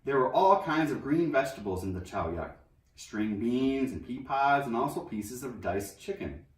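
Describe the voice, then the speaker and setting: A man reading a children's novel aloud in an expressive storybook narration style. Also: medium pitch, normal speed. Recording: roomy echo, distant microphone.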